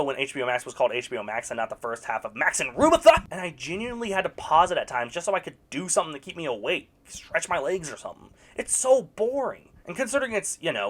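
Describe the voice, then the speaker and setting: A young adult delivers a scripted film review in a sarcastic, animated way, handing out blunt verdicts. The voice sits at a middle pitch but leaps up and down with lively inflection. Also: quick pace, few pauses. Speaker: video reviewer. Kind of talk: scripted movie review commentary.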